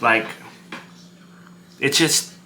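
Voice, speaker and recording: A man's voice: drawn-out words, pushed and bright, soft background music, heavily acted. A man playing an aggrieved, defensive divorced young father, agitated, repeating himself and stammering over his words. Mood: stung, suspicious.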